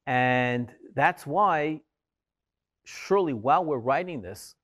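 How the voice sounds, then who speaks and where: A man in his fifties, a Torah scribe, talking in an animated, storytelling way with humorous asides. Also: mid-range voice, slow pace. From Israel. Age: 50s. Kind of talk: interview soundbite.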